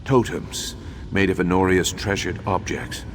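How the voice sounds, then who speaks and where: An actor playing a grave, remorseful undead imperial battle mage in a video game, speaking in a formal, measured, solemn way. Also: male voice, sits low. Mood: sorrowful, hesitant. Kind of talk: dramatic video game dialogue. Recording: long hollow reverb, faint background noise.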